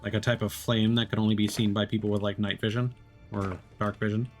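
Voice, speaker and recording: A man's voice: on the low side, little pitch movement, brisk. A man streaming a solo game, chatting and musing aloud, with mild complaints, over faint game music. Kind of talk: casual gameplay commentary.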